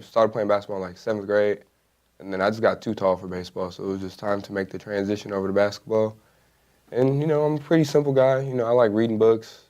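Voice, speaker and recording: Laid-back young man, fast low voice. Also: darkish tone, highs cut off. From Las Vegas, Nevada. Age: young adult. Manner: laid-back, many fillers like "you know" and "like". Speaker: college basketball player. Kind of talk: casual interview answers.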